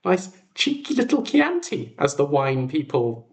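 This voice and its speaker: A man's voice: fairly high pitch, wildly swooping pitch, measured pace, vocal fry. A man in his twenties with an English accent, rambling casually to a livestream chat in a chatty, self-deprecating manner. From England. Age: 20s. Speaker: livestream host.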